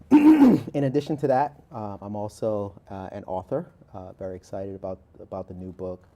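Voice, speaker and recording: A man in his forties from Barbados, an author and guest, giving measured, thoughtful interview answers with many filler pauses. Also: on the low side, expressive, mellow, slight background noise.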